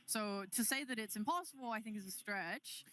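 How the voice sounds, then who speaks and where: Bright-voiced woman analysing esports. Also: a little high, a little fast. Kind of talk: casual panel analysis. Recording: faint venue noise behind.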